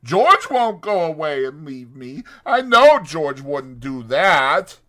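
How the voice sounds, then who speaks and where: A man's voice: on the high side, big pitch swings, takes it slowly, pushed and bright, voice pushed up, exaggerated voice. A man voicing a big, simple-minded, stubborn ranch man who grumbles in simple, childlike rural speech for an audiobook. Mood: frightened, miserable. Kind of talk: dramatized audiobook character acting.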